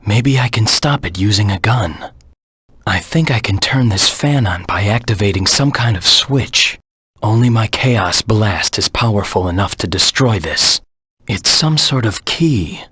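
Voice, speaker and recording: A cold, determined hedgehog anti-hero from a video game. The voice is low and heavily rasped, recorded dry and close. He talks tersely and seriously, as if weighing each move to himself. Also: lively intonation, fairly bright tone, no echo, exaggerated voice. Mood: cool, matter-of-fact. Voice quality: husky, gravelly. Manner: terse, serious, deliberate self-talk. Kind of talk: video game character voice lines.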